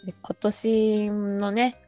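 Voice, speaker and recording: Woman podcast co-host just chatting casually, mid-pitched voice, bit of music under it. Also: little pitch movement, extremely slow, dark tone. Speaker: podcast co-host and amateur voice drama writer. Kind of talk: casual chat among friends.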